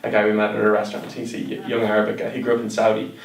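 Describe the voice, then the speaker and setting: A young man with an Irish accent, a guest chatting thoughtfully with many pauses and fillers. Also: lowish voice, completely flat delivery, creaky, echoey room, recorded from a distance. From Ireland. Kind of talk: casual interview chat.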